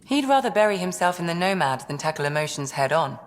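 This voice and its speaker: An actress playing a calm, perceptive ship's doctor in a video game, with a measured, reassuring manner and a low, slightly gravelly voice. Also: female voice, big-room sound, a little hiss or hum. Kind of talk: video game dialogue acting.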